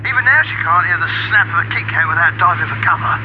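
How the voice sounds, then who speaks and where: Radio comedy: scolding, incredulous agent, highly animated, lo-fi telephone filter. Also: male voice, very fast. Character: exasperated male agent on the phone. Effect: phone line filter. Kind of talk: radio comedy drama acting. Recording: muffled noisy phone line, car sounds.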